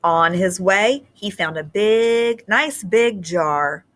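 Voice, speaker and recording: A woman teacher leads a read-aloud lesson for children. Her voice is bright and mid-pitched, and she takes her time, phrasing things simply and expressively. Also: slow pace. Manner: simple explanatory phrasing, expressive when reading.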